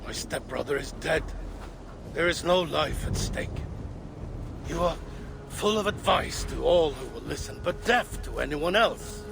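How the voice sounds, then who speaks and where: Norse god's grown son, blunt, gravelly, bit high for a man, telling off, game cutscene. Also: expressive, unhurried, noisy background. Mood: reproachful. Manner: blunt, stern reproach. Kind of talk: dramatic game cutscene acting.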